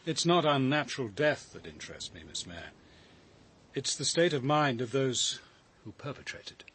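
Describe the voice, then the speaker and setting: Reserved detective, deliberate, mid-pitched with varied intonation, radio drama. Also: male voice, big pitch swings, a bit bright, slightly rough. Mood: grave. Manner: deliberate, literary, restrained. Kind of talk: BBC radio drama dialogue.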